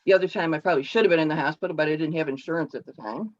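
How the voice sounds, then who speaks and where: Woman telling her story at a club meeting, stops and restarts a lot, muffled lo-fi zoom sound. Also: deep voice. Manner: halting, explanatory, with restarts. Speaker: club member giving a speech. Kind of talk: personal speech on a video call. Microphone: video call. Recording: dull lo-fi call audio.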